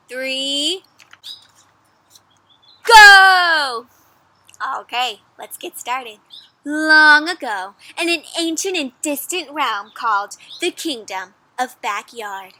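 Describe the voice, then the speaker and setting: A woman with a high, bright voice reads a storybook aloud to children. She is animated and encouraging, stopping often to ask her young listeners questions. The delivery is that of a friendly read-aloud host. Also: low-quality recording. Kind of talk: interactive read-aloud for kids.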